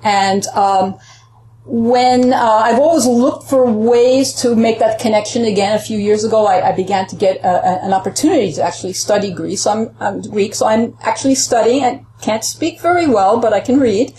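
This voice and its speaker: A woman's voice: a little high, moderate pace, dark tone, slight background noise. Earnest woman in an echoey room. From Puerto Rico. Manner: earnest, searching for words with many restarts. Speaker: interview guest. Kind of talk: reflective interview answers.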